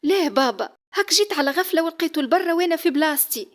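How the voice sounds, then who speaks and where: A woman's voice: very high voice, expressive, rapid-fire, pitched above the performer's own voice. A woman with a Tunisian accent voicing a timid young daughter who defends herself to her parents. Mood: protesting. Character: young daughter, timid but quick to protest.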